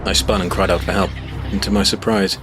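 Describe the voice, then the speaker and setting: A man playing a grave, determined hero, telling his story as dramatic, measured first-person fantasy narration. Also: low voice, flat delivery, natural voice. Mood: grave, intense. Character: male monster-hunting hero recounting battle, grave, determined. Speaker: narrator and protagonist. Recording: prominent music behind the narration.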